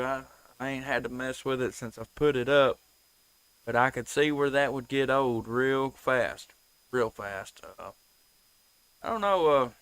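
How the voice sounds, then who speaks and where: A man chatting casually as a ham radio operator, plain-spoken with hesitant pauses. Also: mid-range voice, a bit bright, smooth, no echo. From Tennessee. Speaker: ham radio operator, live stream host.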